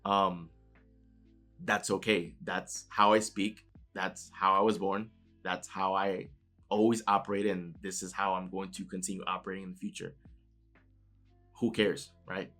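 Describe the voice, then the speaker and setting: Man, New York accent, lowish voice, motivational talk, conversational, frequent "right?", soft music underneath. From New York City. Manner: conversational, keeps adding "right?" tag questions. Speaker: motivational speaker.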